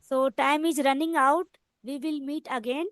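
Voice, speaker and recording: An Indian woman with a high voice, a native Hindi speaker, leads a friendly English practice chat. Her learner English comes in halting pieces with fillers and restarts. The sound is dry and close, like a video call. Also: fairly even intonation, no echo. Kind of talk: casual English practice conversation.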